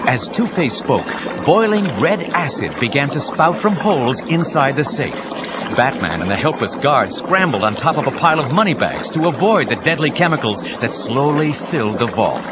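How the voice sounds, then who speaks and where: A man's voice: mid-range voice, big pitch swings, moderate pace, very noisy, close to an everyday voice. A man narrating an action audio drama with urgent, suspenseful scripted delivery. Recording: dull cassette audio with dramatic music.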